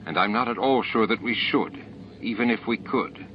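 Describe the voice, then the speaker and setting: A man plays a station commander in a science fiction audiobook, weary, firm and measured. The voice is fairly low. The sound is dull, with clear noise behind it. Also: close to an everyday voice. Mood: grave, reasoning. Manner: mild, measured.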